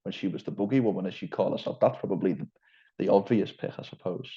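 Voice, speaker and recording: Interviewer, man, Liverpool accent, low dark voice, lo-fi audio. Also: fast talker. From England. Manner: wordy, hedging questions. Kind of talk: interview over a call.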